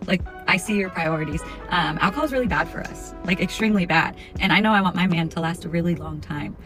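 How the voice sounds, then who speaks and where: A woman's voice: on the low side, fast, fairly bright tone, faint music behind. A woman in her thirties giving her frank, firm opinion on dating. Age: 30s. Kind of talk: opinionated vlog monologue.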